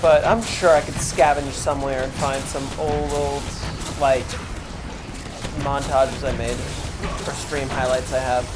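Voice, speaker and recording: A man in his twenties with a high tenor voice, chatting casually and thinking aloud while streaming a game. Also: a little slow, music in the background. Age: 20s. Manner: relaxed, rambling, thinks aloud. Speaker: live streamer. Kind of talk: casual chat while gaming. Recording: clear background noise.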